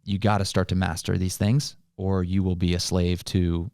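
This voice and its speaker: Low-pitched man explaining on a motivational podcast. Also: level tone, moderate pace, warm tone, clean tone, dry recording, studio quality. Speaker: podcast host. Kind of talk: casual motivational podcast conversation.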